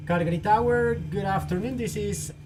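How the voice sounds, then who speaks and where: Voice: mid-range voice, moderate pace, music in the background. A flight simulator pilot talking through procedures step by step, with short callouts and radio phraseology, as a live demonstration.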